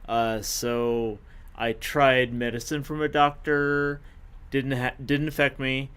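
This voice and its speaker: A man of fifty, a podcast guest, telling his own story in a casual, halting way with many fillers and self-corrections. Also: medium pitch, level tone, fairly bright tone, clean tone. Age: 50s.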